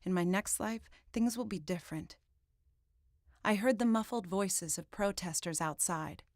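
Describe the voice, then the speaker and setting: A woman reading first-person fiction as an audiobook narrator, in a dramatic, deliberate literary style. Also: medium pitch, dry recording, clean studio sound.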